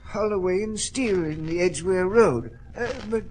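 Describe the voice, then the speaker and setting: An actor playing a mild, fussy gentleman collector in an old-time radio drama, courteous and hesitant. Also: high voice, moderate pace, natural voice, rough recording. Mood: polite, obliging.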